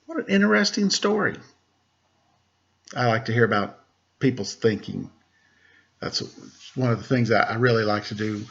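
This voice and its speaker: A man, a teacher, reading a rhyming picture book aloud to children in a lively way and asking them questions. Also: mid-range voice, moderate pace, creaky, pauses often, highs cut off. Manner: lively rhyming delivery with rising questions to listeners. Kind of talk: storybook read-aloud to children.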